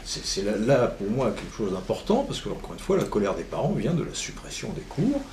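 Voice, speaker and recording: A man's voice: on the low side, huge pitch swings, brisk, a little mellow. A man speaking as a local politician, arguing his case in debate at a public meeting. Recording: echoey room, faint background noise.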